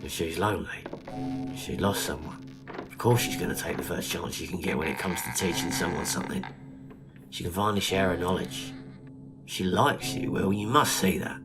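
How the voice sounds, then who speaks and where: Guy playing a blunt but friendly mate in an audio drama, low voice, bit awkward, faint music. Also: normal speed. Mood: reflective. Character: awkward, plain-spoken, friendly male travelling companion.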